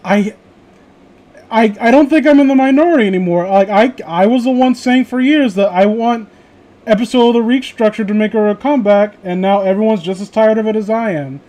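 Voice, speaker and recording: High-voiced man, crude and sarcastic. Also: a little fast, warm tone. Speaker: host reading horror stories aloud. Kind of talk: casual comedic read-along commentary.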